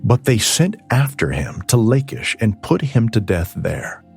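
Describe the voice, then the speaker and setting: A man narrating scripture as a scripted audiobook reading, with measured, solemn phrasing. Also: medium pitch, big pitch swings, gravelly. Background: ambient music.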